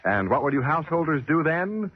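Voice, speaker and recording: Animated old-time American radio announcer, male. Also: a little high, fairly quick, husky. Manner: polished, emphatic salesmanship. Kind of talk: 1940s radio announcing and sponsor pitch. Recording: muffled old 1940s radio broadcast.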